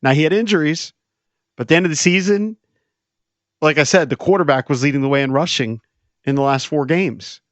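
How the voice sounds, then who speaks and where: A man's voice: a little high, a little fast, pauses often, no echo. A man co-hosting a sports radio show, reasoning through football numbers in casual talk. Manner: reasoned, asks questions, stats-driven.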